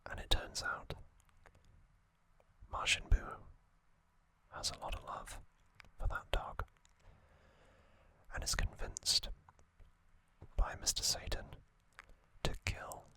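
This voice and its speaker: A man hosting ASMR, narrating gently in a breathy, unvoiced whisper with frequent pauses. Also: low-quality recording. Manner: slow, gentle, pausing delivery. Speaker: ASMR channel host.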